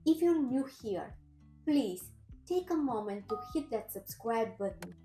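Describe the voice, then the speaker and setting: Woman, Bulgarian accent, thirties, high mellow voice, earnest vlog storytelling, roomy echo, faint music behind. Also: animated, measured pace. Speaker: personal trainer and nutritionist.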